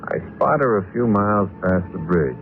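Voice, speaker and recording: A man's voice: sits low, expressive, moderate pace, loud music behind the voice. A man playing a plain-spoken driver in his twenties, narrating tersely in an old radio drama, on a noisy, tinny recording. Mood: wry, matter-of-fact. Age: 20s. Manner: terse, matter-of-fact. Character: plain-spoken 29-year-old male driver, gas station owner. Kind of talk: 1940s radio drama first-person narration.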